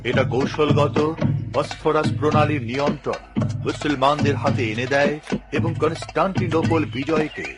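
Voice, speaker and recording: A man narrating history in a measured, solemn tone. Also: fairly high pitch, steady tone, over loud music, close to an everyday voice.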